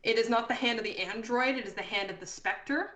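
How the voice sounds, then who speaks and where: A woman running a tabletop game as game master, improvising narration and rulings in a deliberate way as she thinks aloud. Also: on the high side, a bit bright. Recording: roomy echo.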